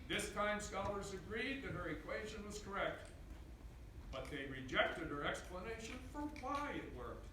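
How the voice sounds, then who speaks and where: A man reading a picture book aloud to a school assembly, with deliberate, dramatic storytelling emphasis. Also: high-pitched, animated, pushed and bright. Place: large echoey indoor school assembly space. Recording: echoey hall, distant mic, faint noise.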